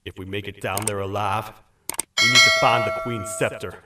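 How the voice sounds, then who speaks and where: An actor playing a grim, commanding monster leader in a video game, recorded with echo at a distance. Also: male voice, on the low side, fairly even intonation, moderate pace, very noisy, lightly acted. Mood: grim, resolute. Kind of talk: video game character acting. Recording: echoey, distant from the microphone.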